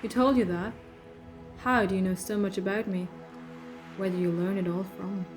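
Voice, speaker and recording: Playful alien heroine, played by a woman, coaxing and animated, audio drama, light music underneath. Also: medium pitch, moderate pace, natural voice. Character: playful, reassuring time-travelling alien heroine, a voice in a boy's head. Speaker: voice actor. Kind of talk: fan audio drama acting.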